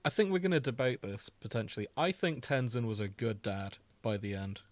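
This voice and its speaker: A man with a medium-pitched voice co-hosts a casual podcast. He speaks in an easygoing, conversational way, unscripted and informal. The recording is dry, with no room sound. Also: very animated, a little fast, dry recording. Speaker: podcast co-host.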